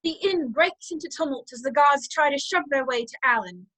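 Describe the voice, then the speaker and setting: Female radio-play narrator, high voice, playful storytelling, dry studio-close sound. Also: expressive, fairly quick, fairly bright tone, dry recording, poor audio.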